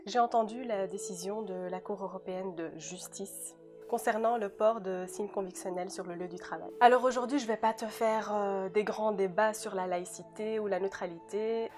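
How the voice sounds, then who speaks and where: Young woman, native Dutch speaker from Belgium, scripted testimony, direct and sincere, music clearly underneath. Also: medium pitch, steady tone, normal speed. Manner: earnest, direct, addresses the listener as a friend. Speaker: law graduate giving a testimony.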